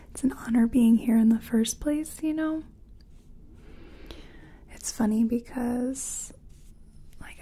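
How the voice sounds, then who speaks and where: Woman doing asmr makeup artist roleplay, super breathy whisper, soft and mellow, kinda hesitant. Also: a little high. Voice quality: breathy, mostly unvoiced whisper. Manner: gentle, hesitant, murmuring personal attention.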